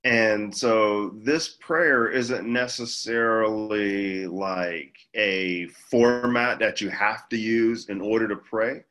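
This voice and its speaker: A man speaking as a pastor, giving an informal Bible teaching in a measured, halting way with pauses mid-phrase. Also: lowish voice, steady tone, fairly bright tone. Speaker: pastor leading a church group.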